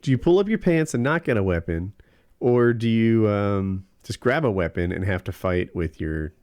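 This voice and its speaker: Male co-host, laid-back crude chat, animated pitch. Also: normal speed, no echo, clean studio sound. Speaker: podcast co-host. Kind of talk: casual comedic chat.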